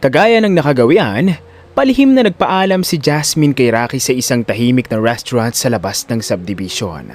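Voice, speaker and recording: A man's voice: mid-range voice, animated, moderate pace. Male narrator of dramatic stories. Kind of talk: scripted dramatic story narration.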